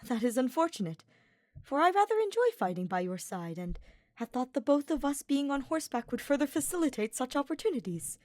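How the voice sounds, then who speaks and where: A woman's voice: sits high, sing-song, a little fast, darkish tone, no echo, studio quality, pitched above the performer's own voice. A woman voicing an earnest, cheerful young nobleman knight in an audiobook, with florid and formal phrasing. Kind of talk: fan fiction audiobook character voice.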